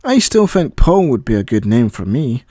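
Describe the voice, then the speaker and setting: A man's voice: medium pitch, very animated, normal speed, warm tone, dry recording, clean studio sound. A man voicing an argumentative, petulant female computer AI in an audio story. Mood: stubborn.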